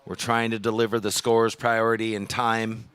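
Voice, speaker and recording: A man's voice: fairly low pitch, little pitch movement, normal speed, a bit bright, clear, resonant tone. Man calling a live surf contest. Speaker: webcast commentator and beach announcer.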